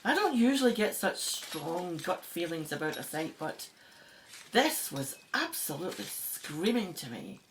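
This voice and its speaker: A woman's voice: lowish voice, big pitch swings, measured pace, slight background noise. A woman playing an older, gracious, commanding in a radio drama. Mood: gracious, keen. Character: seasoned woman Egyptologist, gracious, commanding team leader.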